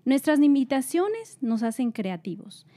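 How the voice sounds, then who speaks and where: A woman with a slightly high voice co-hosts a panel talk. She speaks in an upbeat, encouraging way, with bright rises in her voice. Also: big pitch swings, unhurried. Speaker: co-host.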